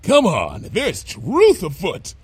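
A man's voice: high-pitched, huge pitch swings, takes it slowly, slightly rough, no echo, voice pushed up, full character voice. A man voicing a carefree, reckless female unicorn pony in a story, breezy and unworried. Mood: enthusiastic.

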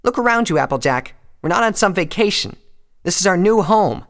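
A brash female pegasus pony from a fantasy story, voiced by a man, pitched well above an everyday male voice. The delivery is scornful, taunting and emphatic, pushed out loudly with a harsh edge. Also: lively intonation, brisk. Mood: angry, scolding.

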